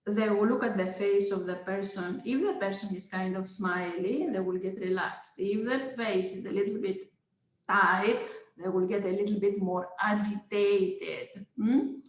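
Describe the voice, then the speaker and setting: A woman's voice: mid-range voice, fairly even intonation, measured pace, reverberant, poor audio. A woman with a Greek accent giving an online lecture over a video call, hesitant, with many filler sounds, checking in with her listeners. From Greece.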